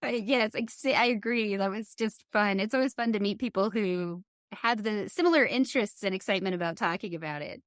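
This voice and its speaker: A woman's voice: medium pitch, a little fast, hardly any pauses, dry recording, studio quality. A woman hosting a podcast, chatting casually as she interviews a guest.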